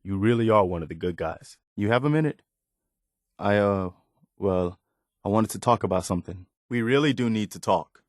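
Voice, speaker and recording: A man's voice: fairly low pitch, moderate pace, dry recording, studio quality, lightly acted. A male actor voicing an earnest, weary, loyal militia soldier in a video game, speaking in a plain-spoken, folksy way. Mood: sincere, grateful. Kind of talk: dramatic video game dialogue.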